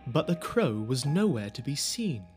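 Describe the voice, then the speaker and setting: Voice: mid-range voice, very animated, normal speed, a little mellow. A narrator telling a spooky audio drama story in scripted storytelling style. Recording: music behind the voice.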